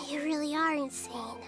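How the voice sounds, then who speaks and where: A woman playing a small, wise and gentle young girl deity in a video game, speaking calmly, politely and with measured words. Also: high-pitched, over music. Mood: gently reproachful. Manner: calm, polite, measured delivery. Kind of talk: scripted game dialogue.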